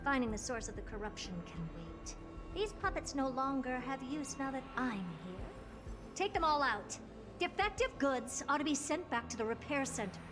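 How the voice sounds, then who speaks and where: An actress playing a haughty, playful genius scientist in a video game, self-assured, dismissive and theatrical in manner. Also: female voice, sits high, expressive, moderate pace, over music. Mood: breezy, dismissive. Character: haughty, playful genius woman scientist who builds puppets.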